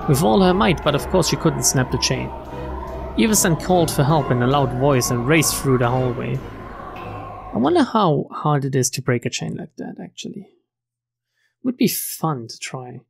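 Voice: medium pitch, gravelly, husky. A streamer reading visual novel text aloud in a dramatic narrating style. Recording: game music behind the voice.

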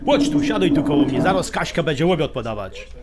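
An actor playing a blunt, bossy but generous older uncle with a Polish highlander accent in a radio drama. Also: male voice, big pitch swings, brisk, over loud music. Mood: blunt, teasing.

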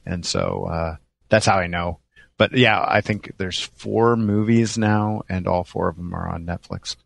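Male podcast co-host, very creaky low voice, casual chat, dry close sound. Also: fairly even intonation, unhurried, dry recording.

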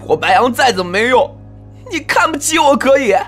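High-pitched, indignant young man played by a woman. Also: lively intonation, fairly quick, fairly bright tone, faint music behind. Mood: hurt, indignant. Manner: emotional, accusing. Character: hot-headed, wounded young male actor, indignant and emotional. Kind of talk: Chinese audio drama acting.

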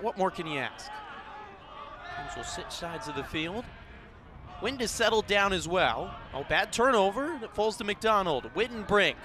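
A man's voice: high voice, background noise. A young man doing live play-by-play commentary of a soccer match as the announcer. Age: young adult.

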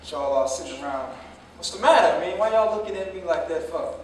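An actor playing a grown son, bewildered and anguished, stammering and repeating himself in a staged play reading. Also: male voice, on the high side, expressive, fast, lightly acted. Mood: puzzled. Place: indoor stage, distant mic. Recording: roomy echoing stage from far off, faint noise.

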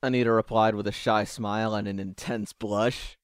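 A man's voice: lowish voice, steady tone, normal speed, smooth, no room sound, studio quality. A man reading scripted third-person story narration as the narrator of an audio drama.

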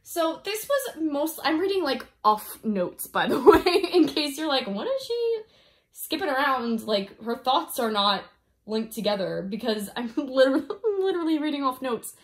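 A woman's voice: high-pitched, sing-song, normal speed. A young woman casually chatting through a craft kit review, a little congested from a cold, rambling and giggling with many fillers. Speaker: diamond painting vlogger. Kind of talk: casual review chat, reading off notes. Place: indoors at home, filming down at a canvas.

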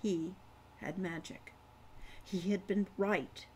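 A woman in her sixties reading fantasy fiction as its author, with deliberate, dramatic phrasing. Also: fairly low pitch, steady tone, warm tone, slight background noise. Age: 60s. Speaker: author reading own novel. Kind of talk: audiobook-style fantasy narration. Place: home room with kitchen behind.

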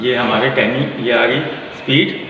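A middle-aged gym trainer patiently explaining a cardio plan step by step, recorded at a distance on a phone in an indoor gym. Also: male voice, medium pitch, normal speed, background noise. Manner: patient, step-by-step instruction with frequent "inshallah". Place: indoor gym with hard walls. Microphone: vlogger's phone held at a distance. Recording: very echoey, dull gym audio.

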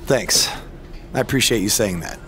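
A man's voice: on the low side, lively intonation, normal speed, a bit bright, a little hiss or hum. A man playing a polite young wrestler in a video game, talking in a hesitant, casual way. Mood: awkward, sincere. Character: easygoing, polite young male wrestler hero.

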